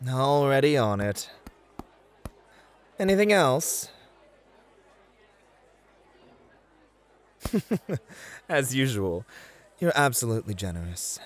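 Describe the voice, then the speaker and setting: Casting: actor for courteous male bunny-club host, medium pitch with lively swings, playful, polite, flirty roleplay delivery. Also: measured pace, long pauses. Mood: courteous, attentive. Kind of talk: flirty ASMR roleplay acting.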